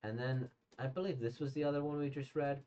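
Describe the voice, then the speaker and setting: A hoarse, slightly congested man casually reacting to manga he has read, rambling with many fillers and restarts. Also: medium pitch, fairly even intonation, brisk, mellow. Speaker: manga reader and reviewer. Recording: dull lo-fi sound.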